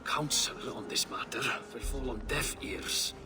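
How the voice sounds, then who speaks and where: An actor playing a cautious, weary older Norse official who speaks with reasoned restraint in a game cutscene. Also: male voice, mid-range voice, animated, measured pace, natural voice. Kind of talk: video game cutscene acting.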